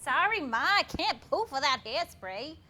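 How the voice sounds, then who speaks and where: An actress playing a friendly, perky young wife who does hair at home, in a staged reading of a comedy play. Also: female voice, high-pitched, very animated, a little slow, pushed and bright, heavily acted. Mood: cheerful, coaxing. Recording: very noisy stage recording with clicks.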